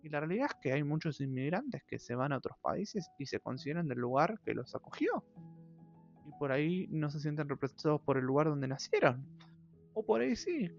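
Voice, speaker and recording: An Argentine man hosts his own channel, thinking aloud in a reflective, halting way full of drawn-out fillers. His voice carries a gravelly fry. The recording sounds muffled and lo-fi, with quiet music underneath. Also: a little high, big pitch swings. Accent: Argentine Spanish. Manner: thoughtful, hesitant, many drawn-out fillers. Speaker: channel host. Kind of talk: reflective personal monologue. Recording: dull lo-fi audio with faint music.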